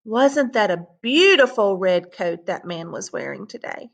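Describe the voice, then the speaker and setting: Storybook boy, insistent, raised pitch on the claims, slow, woman reading aloud. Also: expressive, vocal fry. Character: insistent young boy in an argument.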